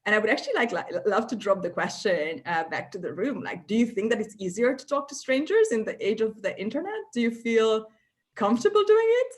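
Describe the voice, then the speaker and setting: A woman's voice: on the high side, lively intonation, fairly quick. A woman in her thirties from Eastern Europe, hosting a casual discussion in a thoughtful, discursive way, often checking in with the listener. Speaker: host of an online discussion salon.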